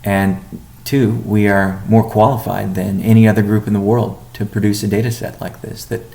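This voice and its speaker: A man giving a company update on a conference call as its chief executive, speaking in a measured, halting way with deliberate pauses. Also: fairly low pitch, flat delivery, gravelly, warm tone. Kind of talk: business conference call presentation.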